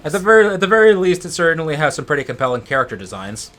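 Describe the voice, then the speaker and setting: A man's voice: a little high, sing-song, rapid-fire, a bit bright. Geeky man chatting over game music. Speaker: co-commentator on a let's play. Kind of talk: casual chat over gameplay.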